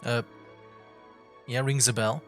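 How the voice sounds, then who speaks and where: A woman's voice: a bass voice, fairly even intonation, slow, faint music behind. A woman streamer giving casual commentary while playing a game.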